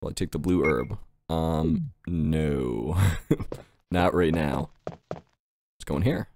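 A man's voice: a bass voice, slow, creaky, darkish tone. A man giving casual let's play commentary, crude and exclamatory, swearing freely. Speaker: gaming video host.

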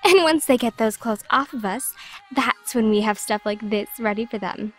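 A bossy, bubbly girl in an audio drama acted by a woman, very high in pitch with faint music behind. She gushes and tosses out flippant remarks. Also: normal speed, a bit bright, lightly acted. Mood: excited, brash. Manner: flippant, gushing. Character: brash, bubbly, bossy teenage girl mentor.

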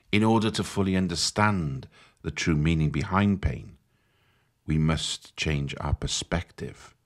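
A man leading a guided meditation in a soothing, measured, instructive manner. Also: rumbling low.

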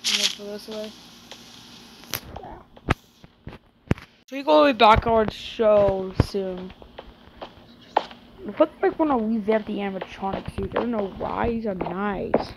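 A woman chatting in character during a casual game roleplay, breaking into excited exclamations. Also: mid-range voice, measured pace, creaky, long pauses, very noisy. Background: loud game music.

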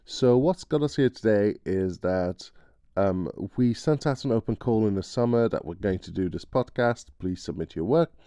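A man's voice: on the low side, expressive, normal speed, mellow, no echo. A man co-hosting a podcast, giving a casual introduction. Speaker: podcast co-host and sound designer.

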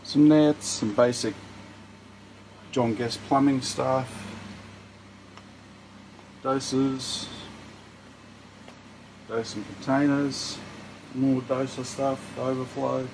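Slow Australian man touring his shop. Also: mid-range voice, steady tone, darkish tone, slight background noise, rough recording. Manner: slow, unhurried listing of items one by one. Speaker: shop owner giving a store tour. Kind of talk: casual walkthrough, listing products. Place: indoor retail store.

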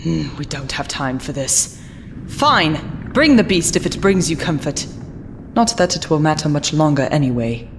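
A woman's voice: sits low, lively intonation, a little slow, long reverb tail, background noise. A woman voicing a scheming, haughty alien princess who gives orders with contempt. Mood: commanding. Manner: haughty, commanding.

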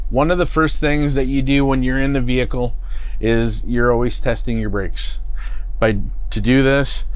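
A middle-aged man giving a plain, stop-start how-to walkthrough from the driver's seat of a parked motorhome, with fillers and restarts, on a lapel mic. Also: mid-range voice. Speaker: product expert. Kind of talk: unscripted how-to demonstration. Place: inside a parked motorhome cockpit.

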